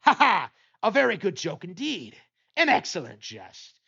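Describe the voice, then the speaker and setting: A man's voice: high-pitched, wildly swooping pitch, a little slow, creaky, pushed and bright, slightly rough, pitched above the performer's own voice, heavily acted. A drunken, doomed nobleman, acted out by a man in a gothic audiobook. His voice is raised and swings widely, full of desperate, forced jollity. The sound is slightly dull. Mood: desperately jolly. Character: drunken nobleman, walled up alive, desperately laughing and pleading. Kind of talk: dramatic audiobook character voice.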